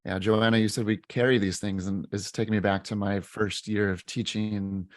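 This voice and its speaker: A man in his thirties with a fairly low voice recalls a story in an earnest, halting way, often restarting his sentences. The sound is dry and close to the mic, with no room around it. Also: flat delivery, dry recording. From United States. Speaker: teacher and podcast co-host. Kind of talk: reflective storytelling in casual chat.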